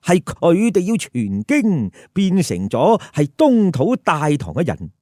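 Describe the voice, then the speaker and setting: Male storyteller, lively swings in pitch, unhurried delivery, chatty comic commentary with rhetorical questions. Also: no echo, clean studio sound. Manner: colloquial, rhetorical questions to listeners. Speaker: storyteller, host.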